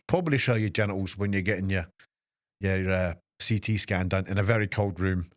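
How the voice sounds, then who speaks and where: A Scottish man in his fifties, a fitness trainer hosting a solo podcast, speaking in an emotional, halting way with many restarts. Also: lowish voice, no room sound, studio quality. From Scotland. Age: 50s. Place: small podcast studio.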